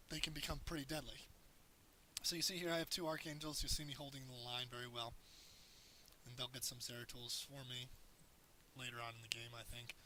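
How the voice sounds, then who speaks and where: A man with a hoarse voice narrates his own strategy game match, walking through tips in a loose, rambling way. His tone is bright and forward, with a light hiss under the recording. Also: mid-range voice, low-quality recording. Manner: explains as he plays, loose and rambling. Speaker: gameplay commentator. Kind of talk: casual game tutorial commentary.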